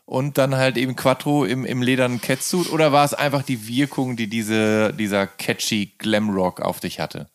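A man's voice: medium pitch, fairly even intonation, normal speed, hardly any pauses, no room sound, studio quality. A man hosting an interview, putting conversational, informal questions to his guest. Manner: informal, often murmurs acknowledgements. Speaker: interviewer, host.

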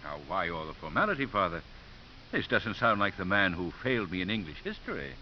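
A man's voice: low-pitched, sing-song, fairly quick. A man playing an affable, informal Cardinal with some dignity, in a radio drama. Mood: jovial. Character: genial, informal male Cardinal, old friend. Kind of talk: 1950s radio acting. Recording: hissy, dull 1950s radio recording.

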